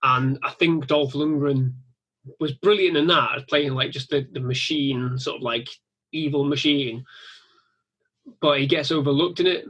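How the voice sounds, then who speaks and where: A man's voice: medium pitch, unhurried, fairly bright tone, breathy edge. A man chatting casually on a podcast about action films, swearing freely. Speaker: podcast guest. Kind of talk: casual film chat.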